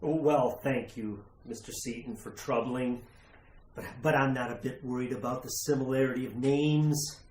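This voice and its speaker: A courteous, slightly fussy judge played by a man speaks in halting, deliberate phrases, as in an old radio thriller. He has an unflappable, folksy manner and a middling pitch. The room echoes clearly around him. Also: steady tone, a little mellow, a little hiss or hum, lightly acted. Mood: courteous, unworried. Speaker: actor in a radio play. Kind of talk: retro radio horror acting. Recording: roomy, echoing sound.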